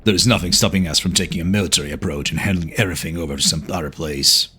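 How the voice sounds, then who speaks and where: A man's voice: low-pitched, racing, bright, forceful tone, breathy edge, full character voice. A man playing a cynical army colonel in a game, with a sardonic, grumbling delivery. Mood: scornful, irritated. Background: faint music.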